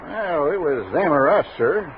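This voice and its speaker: An actor playing a hot-headed but loyal frontier soldier in a 1960s radio western, folksy and excitable. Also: male voice, fairly high pitch, a little slow. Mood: loyal, plain. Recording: noisy tinny vintage 1960s radio recording.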